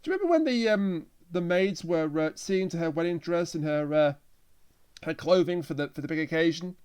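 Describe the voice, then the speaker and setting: A man's voice: fairly high pitch, animated, brisk, a little mellow, clean tone. A man co-hosting a review podcast, casually retelling a plot. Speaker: podcast co-host.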